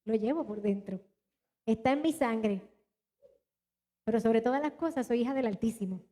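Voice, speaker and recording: A woman's voice: on the high side, moderate pace, mellow. A Puerto Rican woman pastor with a mixed Latin American Spanish accent, preaching animatedly and talking straight to her congregation in a church. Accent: mixed Latin American Spanish, little Puerto Rican. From Puerto Rico. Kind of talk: live church sermon and announcements. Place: church hall.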